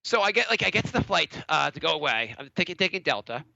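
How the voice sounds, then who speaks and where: Fast, lively man telling a story. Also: a little high, gravelly, no echo, muffled recording. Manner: animated, acts out other people's lines in a raised voice. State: slightly congested from a cold. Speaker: podcast co-host. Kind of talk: casual storytelling chat.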